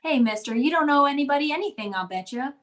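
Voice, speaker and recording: A woman's voice: high-pitched, animated, fast talker, fairly bright tone. A woman playing a chatty, eager little schoolgirl in an old-time radio comedy, pert and childlike. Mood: excited.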